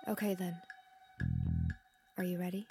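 A woman's voice: lowish voice, flat delivery, a little slow, music in the background, natural voice. An actress playing a young woman who reads tarot in an audio drama, soothing and concerned. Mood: reluctant. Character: caring young woman tarot reader, gently reassuring. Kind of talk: naturalistic audio drama acting.

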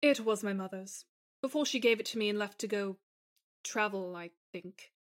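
A woman's voice: medium pitch, moderate pace, lightly acted. A woman voicing a tender woman reunited with her lost lover in a fan fiction podcast, speaking in careful, formal phrases full of feeling. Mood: reflective. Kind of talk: dramatised fan fiction reading.

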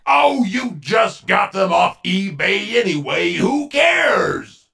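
A man as a theatrical, gravelly, high-pitched announcer. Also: very animated, far from the mic, exaggerated voice. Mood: exasperated, yelling. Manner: theatrical, swinging from slow grand delivery to shouting. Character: pompous disembodied show announcer, foul-mouthed and easily exasperated. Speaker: podcast announcer. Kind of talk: comedy podcast announcing and sketch.

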